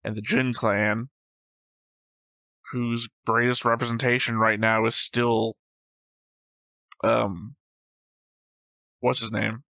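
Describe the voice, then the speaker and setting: A man's voice: medium pitch, little pitch movement, slow, gravelly, pauses often, bright, forceful tone, no echo. A man casually discussing a show as a reaction host, stating and correcting details in a matter-of-fact way. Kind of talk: casual discussion of an animated series.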